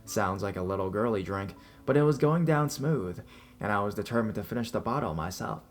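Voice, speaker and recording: Male narrator, low pitch, scripted first-person horror storytelling, music playing behind the voice. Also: lively intonation, brisk. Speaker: narrator reading listener-submitted scary stories. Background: background music.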